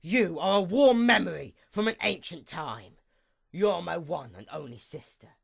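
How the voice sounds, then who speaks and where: A woman voicing a bitter, envious dark goddess pony in a grandiose, brooding dramatic monologue. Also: lowish voice, animated, normal speed, creaky. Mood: sorrowful, envious. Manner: grandiose, brooding, theatrical, formal.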